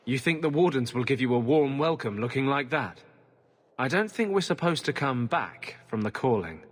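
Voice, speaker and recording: An actor playing a thoughtful, troubled young man in a fantasy game, earnest and very husky. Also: mid-range voice, lively intonation, normal speed, natural voice. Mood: doubtful. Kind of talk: fantasy game cutscene acting. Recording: long hall reverb.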